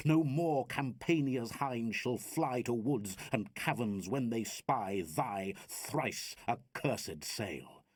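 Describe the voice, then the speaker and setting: A man's voice: mid-range voice, husky, no echo, studio quality. A man voicing a proud, defiant Roman warrior from epic verse, taunting his enemies in grand, scornful declamation.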